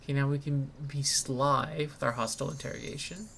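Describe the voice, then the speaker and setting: A woman's voice: rumbling low, fairly even intonation, brisk, gravelly, fairly bright tone, slight background noise. A woman giving casual commentary as she plays through a video game. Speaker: let's play host.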